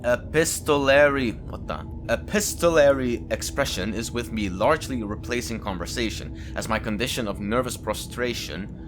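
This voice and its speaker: A man's voice: medium pitch, moderate pace, over music. A man who is not a native English speaker, hosting a let's-play with informal, chatty commentary, jokey asides and some reading aloud. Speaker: gaming video host.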